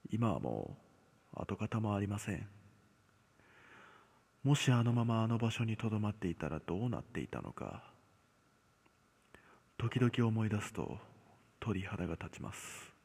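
A man telling a scripted horror story as narrator, measured, with dramatic pauses. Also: lowish voice, animated, creaky, a little mellow, husky. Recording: long cavernous reverb.

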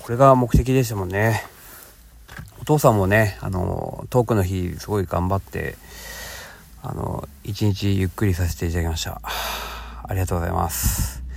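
A middle-aged man hosting a casual solo podcast, talking to listeners hesitantly with frequent drawn-out filler sounds. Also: low voice, creaky, a little mellow. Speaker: podcast host.

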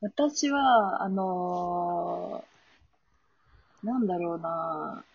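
A woman's voice: on the low side, extremely slow, gravelly, breathy edge, no echo, highs cut off. A woman chatting casually as a guest on a radio drama cast talk. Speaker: voice cast member on a talk show. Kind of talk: casual chat.

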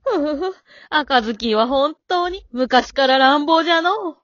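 Radio drama grandmother, female amateur: elderly, high-pitched, teasing and doting, old-folk phrasing, close dry sound. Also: animated, measured pace, dry recording, lo-fi sound. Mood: dramatic, amused. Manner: old-folk drawl with hearty chuckles.

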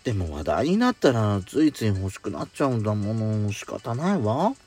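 A man's voice: on the low side, big pitch swings, normal speed, darkish tone, slightly rough, soft background music, voice pushed down. A man playing a coy, clingy, pampered sweetheart with feminine speech in an intimate bedtime audio drama. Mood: clingy, drowsy. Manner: coy, teasing, feminine wording.

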